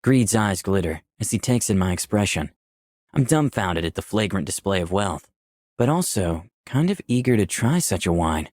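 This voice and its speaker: Low-voiced young visual novel protagonist. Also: male voice, very animated, normal speed, darkish tone, no room sound, studio quality. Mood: reflective. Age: young adult. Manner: clear scripted storytelling. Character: candid, easygoing 24-year-old man, protagonist and narrator. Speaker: narrator and protagonist. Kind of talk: first-person visual novel narration and dialogue.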